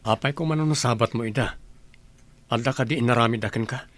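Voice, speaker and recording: A man's voice: mid-range voice, fast talker, a bit bright, no room sound, natural voice. A man with an Ilocano accent playing a questioning, reproachful villager in a radio comedy drama. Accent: Ilocano Filipino.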